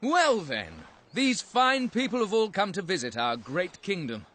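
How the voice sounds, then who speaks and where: An actor playing a kindly guard of a fantasy kingdom in an animated game, genial and chatty. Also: male voice, high voice, unhurried, heavily acted. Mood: genial, relieved.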